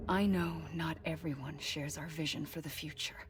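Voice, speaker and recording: An actress playing an earnest, idealistic American woman leader in a video game, speaking softly and sincerely with measured phrasing. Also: low voice, steady tone, noisy background, lightly acted. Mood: earnest, resolute. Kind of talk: dramatic cutscene acting. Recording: music under the dialogue.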